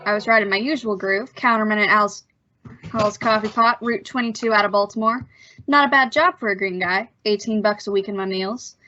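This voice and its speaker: A woman's voice: mid-range voice, a little fast, bright, forceful tone, close to an everyday voice. A woman playing a guarded, wisecracking seventeen-year-old diner counterboy in an old-time radio suspense drama, with slangy, clipped delivery. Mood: breezy narrating. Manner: slangy, cagey, clipped answers. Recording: dull, lo-fi audio.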